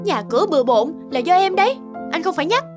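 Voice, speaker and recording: A woman's voice: extremely high pitch, very animated, fast, music in the background. A woman voicing a resentful young wife and mother in a cartoon, scolding in a curt manner.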